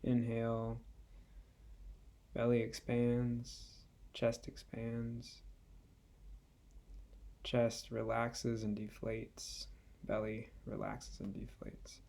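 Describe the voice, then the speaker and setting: Male meditation guide: soft-edged mellow tone with noticeable vocal fry, gentle delivery, patient pauses. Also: mid-range voice, completely flat delivery. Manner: gentle, unhurried, with long pauses between phrases. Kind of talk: guided body scan meditation.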